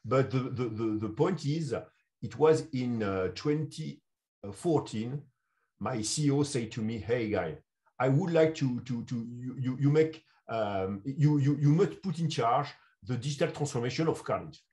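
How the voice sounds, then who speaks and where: A man, a consulting CEO answering interview questions over a video call. He speaks in measured, English. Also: mid-range voice, a little mellow. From France. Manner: measured, non-native English phrasing. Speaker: guest, insurance consulting CEO. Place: home room.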